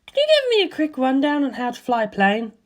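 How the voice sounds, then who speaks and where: A bold young woman fighter from a spoken adventure story, voiced by its reader, tossing off her lines with breezy, cheerful confidence. Her voice sits far higher than the narration around her, light and playful even with danger ahead. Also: brisk. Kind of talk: audiobook character voice.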